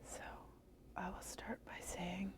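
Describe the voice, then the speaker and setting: A woman whispering a soft ASMR book review, slightly hoarse and hesitant, with many fillers. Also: takes it slowly.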